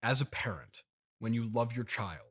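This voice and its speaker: A man's voice: mid-range voice, lively intonation, normal speed, fairly bright tone. A man playing a patient, exasperated billionaire father hosting a comedy podcast interview, placating and hesitant. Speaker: podcast host.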